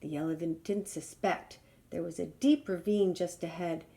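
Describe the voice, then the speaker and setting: A woman's voice: fairly low pitch, fairly even intonation, moderate pace, vocal fry, dark tone. A woman reading a children's storybook aloud expressively. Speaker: storybook reader.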